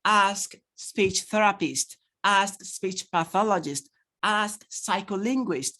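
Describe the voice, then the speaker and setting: Lively Nigerian-accented male tutor. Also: sits high, takes it slowly, a little hiss or hum. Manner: animated, rhetorical questions, laughs often, answers chat. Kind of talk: online training lecture to parents.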